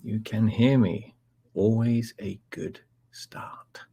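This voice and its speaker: A middle-aged Scottish man presenting a live technical webinar in a relaxed, chatty way, with frequent ums and uhs. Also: fairly low pitch, slow, breathy edge. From Scotland.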